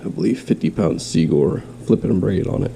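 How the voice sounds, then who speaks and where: A man with a stuffy nose and a slight cough talks in a deep, gravelly voice with a very dark tone. He is nasal and rambling as he runs through his gear at home. Faint noise sits behind him. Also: fairly quick. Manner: rambling, with restarts. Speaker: fishing vlogger. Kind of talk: casual show-and-tell. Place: home, in quarantine.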